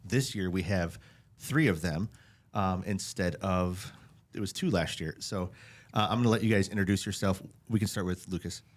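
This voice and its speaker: Low-voiced man hosting a podcast. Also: normal speed, hardly any pauses. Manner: relaxed, chatty, laughs easily. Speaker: podcast host. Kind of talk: casual interview. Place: small decorated podcast studio. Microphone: studio mic on boom arm, headphones.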